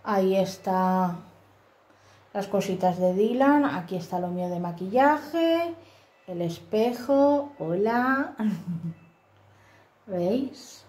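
A woman from Spain giving a casual, homely tour of her small flat, filming on a handheld phone, often apologizing and checking in with "okay?" as she goes. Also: medium pitch, very slow, long pauses. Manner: homely, apologetic, lots of fillers and "¿vale?". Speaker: vlogger giving a house tour. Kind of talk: casual chatty home tour. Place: small dim flat with tiled kitchen and bathroom, indoors. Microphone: handheld phone camera. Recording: echoey tiled rooms.

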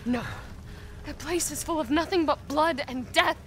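A woman's voice: high-pitched, normal speed, slight background noise, lightly acted. An actress playing a frightened young village woman in a game cutscene, pleading and desperate as she grieves her father. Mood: anxious. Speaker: villager survivor. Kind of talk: video game cutscene acting.

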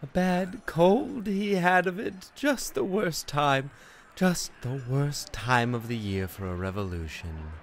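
An actor playing a brooding, disturbed man in a radio play, with theatrical, measured delivery. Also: on the high side, expressive, slight background noise.

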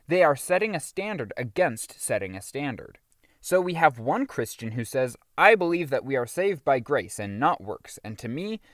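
A man reading a religious audiobook as scripted narration, with emphatic, deliberate phrasing. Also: medium pitch, no echo, studio quality.

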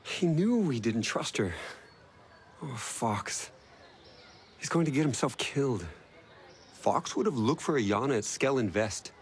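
An actor playing a worried tech genius in a video game, earnest and conversational. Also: male voice, mid-range voice, expressive, unhurried, pauses often. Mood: worried, regretful. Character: worried blond tech genius, male civilian. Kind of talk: video game cutscene acting. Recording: clear ambient background noise.

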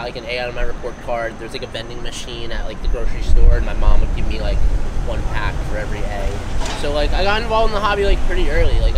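A young American man from New Jersey talks off the cuff in a casual interview, full of slang and swearing. His voice sits at an average pitch. He is recorded outside on a street, with heavy traffic noise around him. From New Jersey, USA. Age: 20s. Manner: slangy, frequent "like" and "bro", swearing. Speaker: interviewee, card shop owner. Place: outdoors on a city street at night. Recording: noisy street ambience with traffic.

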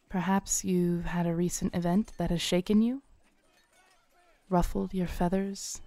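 A woman playing a kindly, knowing tarot reader at a Renaissance faire, speaking softly, smoothly and soothingly to the listener in an audio roleplay. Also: on the low side, no room sound. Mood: mysterious, reassuring. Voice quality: smooth, soft. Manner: unhurried, soothing, theatrical, with dramatic pauses. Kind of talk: soft-spoken audio roleplay addressed to the listener. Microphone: close studio mic, dry.

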